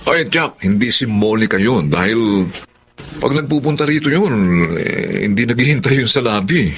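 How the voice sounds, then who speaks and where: An actor playing a young philandering radio DJ in a Filipino radio drama, coaxing and full of excuses. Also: male voice, mid-range voice, animated, normal speed, a bit bright, a little hiss or hum. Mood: playful. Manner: coaxing, playful, excuse-making. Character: smooth-talking, defensive young philandering radio DJ. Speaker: radio DJ character.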